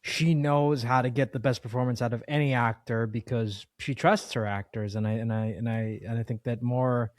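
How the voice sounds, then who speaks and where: A man hosting an interview conversationally, with a halting way of repeating his phrases. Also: medium pitch, fairly even intonation, no echo. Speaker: interviewer, podcast host.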